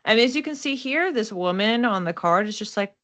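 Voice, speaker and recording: A woman's voice: fairly high pitch, lively intonation, brisk, a bit bright. A woman giving a casual, unscripted card and astrology reading, thinking aloud in a reflective, conversational way. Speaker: oracle card reader and astrology host.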